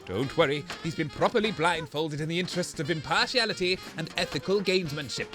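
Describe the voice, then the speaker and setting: A man's voice: on the high side, quick pace, music in the background, close to an everyday voice. A man playing a brash, bossy radio host refereeing in a comedy show. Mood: shifty. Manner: bossy, commentating.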